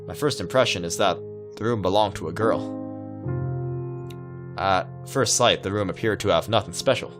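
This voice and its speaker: A man reading a visual novel aloud in a casual, halting way, dropping in his own asides. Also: fairly low pitch, over music.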